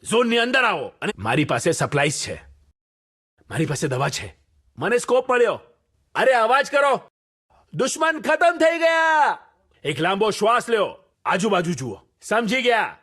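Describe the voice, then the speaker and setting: A man performs an urgent male squad soldier for a video game, with a very high, sharp voice. He delivers clipped, commanding battle callouts, and his pitch swings in a lively way. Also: measured pace, long pauses, heavily acted. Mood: tactical, controlled. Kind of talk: short shouted military game callouts.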